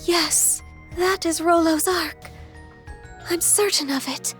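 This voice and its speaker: Learned young female game sage: very high voice, unhurried, formal, archaic, solemn. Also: a bit bright, exaggerated voice. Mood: pensive. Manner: measured, archaic formal phrasing. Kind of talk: English video game voice acting. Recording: game music behind the voice.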